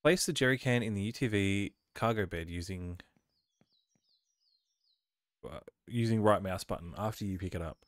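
A man with a slightly low voice talks through his gameplay in an easygoing commentary style. He has a cold that colors his voice. He reads on-screen instructions aloud and thinks out loud as he goes. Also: moderate pace, long pauses, no echo, clean studio sound. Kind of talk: casual let's-play commentary.

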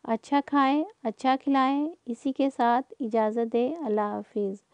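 Mellow, even-toned woman chatting about home cooking. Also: fairly high pitch, a little slow, dry recording. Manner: conversational, rambling. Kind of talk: casual chatty cooking voice-over.

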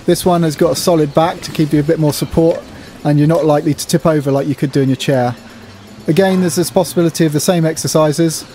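A man's voice: fairly high pitch, steady tone, fast, mellow, a little hiss or hum. A middle-aged man narrating an instructional exercise video in a plain, practical, explanatory way. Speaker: presenter demonstrating gym exercises. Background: music.

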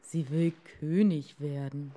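Storybook fisherman husband, acted by a woman, lowish pitch, troubled, reluctant, slow. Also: fairly even intonation, mellow. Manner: plaintive, sighing, hesitant. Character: meek, reluctant old-tale fisherman husband, henpecked and troubled.

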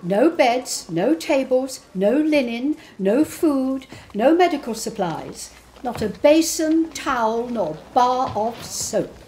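Woman acting prim Victorian nurse, formal, indignant, precise, high voice, lively intonation, poetic monologue. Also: slow pace, a little hiss or hum. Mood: stern, indignant. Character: prim, exacting Victorian nurse writing a tart letter. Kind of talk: dramatic poetry monologue.

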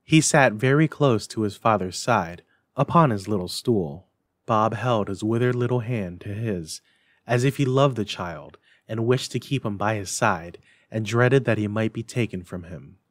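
A man giving an expressive, deliberate dramatic reading of a classic Christmas story. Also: fairly low pitch, dry recording. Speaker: library staff story reader.

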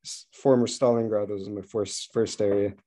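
A man from Israel, a podcast guest on a video call, telling his story in a relaxed way with small restarts. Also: on the low side, normal speed. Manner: relaxed, self-correcting storyteller. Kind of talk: casual interview.

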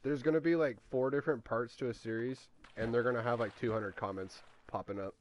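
A man's voice: medium pitch, steady tone, brisk, slight background noise, poor audio. Teasing laid-back man. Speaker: co-player. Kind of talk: casual gaming stream chat.

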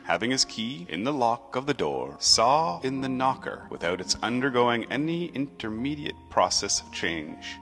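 A man narrating a classic story in a theatrical, measured and emphatic storytelling style. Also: deep voice, bright, forceful tone. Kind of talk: dramatic narrated reading of a classic Christmas tale. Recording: long reverb, music underneath.

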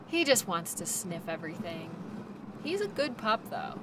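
A woman playing a cheerful, reassuring dog-sled musher in a naturalistic audio roleplay, chatting gently to her passenger. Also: fairly low pitch, sing-song, normal speed, noisy background. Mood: calm, friendly. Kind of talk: audio roleplay, naturalistic second-person acting.

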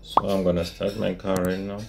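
A man's voice: low-pitched, completely flat delivery, moderate pace, creaky, very noisy. A man hosting a casual game tutorial, explaining things plainly step by step. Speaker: tutorial host.

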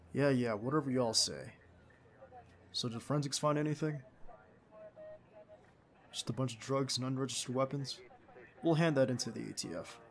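A man playing a tender, protective young secret agent boyfriend in an ASMR roleplay, speaking in a soft, soothing, intimate way. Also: medium pitch. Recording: faint background ambience noise.

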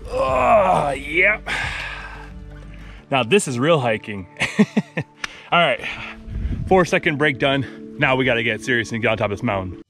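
A man's voice: medium pitch, very animated, unhurried, gravelly, long pauses, pushed and bright, faint music behind. A young man filming himself on a forest hiking trail, narrating a travel vlog in an upbeat, joking way. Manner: upbeat, joking about the stairs. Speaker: travel vlogger. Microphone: camera held at arm's length.

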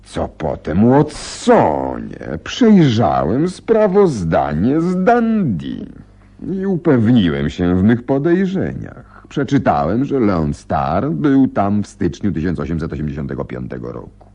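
A slow, gravelly, shrewd detective. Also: male voice, fairly low pitch, wildly swooping pitch, warm tone, dull audio, heavily acted. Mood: triumphant, explaining. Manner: deliberate, self-assured, dramatic. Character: shrewd, determined male detective, coolly vengeful. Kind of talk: Polish radio drama acting.

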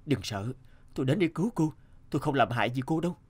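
A man voicing a brave, kind young man in an animated folktale, earnest and a little hesitant. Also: mid-range voice, fast talker, breathy edge. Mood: urgent, earnest.

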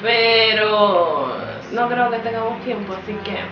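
A giggly young woman chats with her viewers in a beauty vlog, her voice sitting a little high. She is picked up from across a small room, so the sound is distant and echoey, with music under her. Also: takes it slowly, dull audio, noisy background. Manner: chatty, giggly, speaks to viewers. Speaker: beauty vlogger. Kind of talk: casual chat. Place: small indoor room against a plain wall. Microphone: far camera. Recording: roomy, distant, music behind.

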